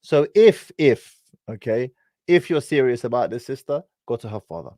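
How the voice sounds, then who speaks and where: A man's voice: mid-range voice, animated, moderate pace, dark tone, no room sound, studio quality. Direct, brotherly man hosting a call-in. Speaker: host. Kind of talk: live stream call-in advice. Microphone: online call.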